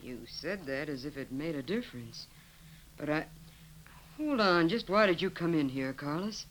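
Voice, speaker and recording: A woman's voice: deep voice, expressive, moderate pace, fairly bright tone. A tough, brassy frontier saloon boss in an old radio western. She talks bluntly and heartily in folksy western phrases, on a slightly noisy vintage recording. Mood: brassy, amused. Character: big, tough, brassy widowed frontier cafe owner, easily flattered. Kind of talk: 1950s radio acting.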